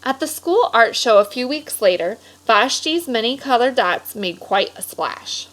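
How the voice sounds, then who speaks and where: Higher-voiced woman teacher reading to children. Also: animated, a little slow, a bit bright, low-quality recording. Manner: instructive, checks in with questions. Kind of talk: read-aloud storytelling and explanation for children.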